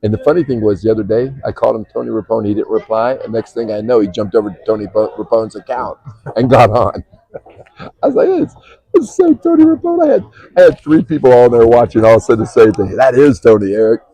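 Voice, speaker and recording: A man's voice: mid-range voice, very animated, a little fast, dark tone, slightly rough, no room sound, low-quality recording. A man commentating on a pool match in a casual, jokey way, swearing and laughing often. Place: pool hall.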